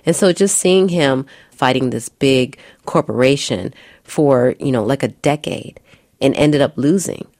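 A woman's voice: extremely low pitch, measured pace, a little mellow, no room sound, studio quality. A woman with an American accent giving an earnest first-person account as a guest speaker. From United States, Midwest.

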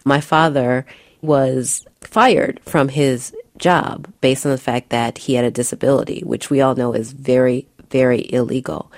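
A woman's voice: rumbling low, fairly even intonation, a little slow, no echo, clean studio sound. A woman with an American accent giving an earnest first-person account as a guest speaker. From United States, Midwest.

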